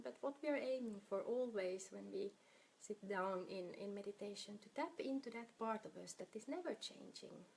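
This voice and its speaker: A woman leading a guided meditation in a soft, soothing, unhurried manner, her breathy voice often trailing off toward a whisper. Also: fairly high pitch, slight background noise, rough recording.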